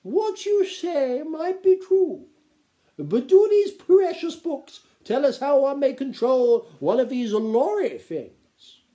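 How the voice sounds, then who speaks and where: Man putting on a very high, grand gnome baroness. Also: sing-song, takes it slowly, mellow, full character voice. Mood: shrewd challenge. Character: small fat gnome baroness, imperious, shrewd lady.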